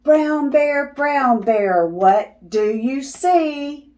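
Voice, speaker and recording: A woman's voice: high voice, expressive, very slow, a little mellow. A woman reading a picture book aloud to young children in a sing-song, teacherly way, as the host of children's videos. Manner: teacherly, asking questions and affirming answers.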